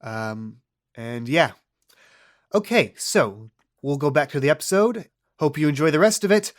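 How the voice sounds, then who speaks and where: A man's voice: fairly high pitch, animated, unhurried. A man hosting a podcast as game master, with casual narration in a tabletop game style.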